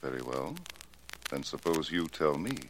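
An actor playing a sly, insistent fortune teller in a 1940s radio drama, speaking formally and deliberately with theatrical mystery. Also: male voice, a bass voice, expressive, a little hiss or hum, poor audio. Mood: haughty, guarded.

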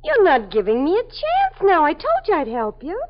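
A woman's voice: extremely high pitch, huge pitch swings, normal speed, exaggerated voice. An actress playing a frightened young woman being questioned by police, defensive and pleading, in a 1950s radio drama. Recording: dull old radio broadcast recording.

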